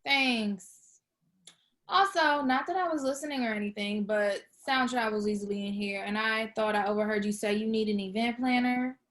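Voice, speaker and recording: A woman's voice: fairly high pitch, moderate pace, a bit bright, lightly acted. A woman playing an awkward, eager young woman in a script table read, hesitating and repeating herself. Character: awkward, eager young woman fibbing her way through job hunt.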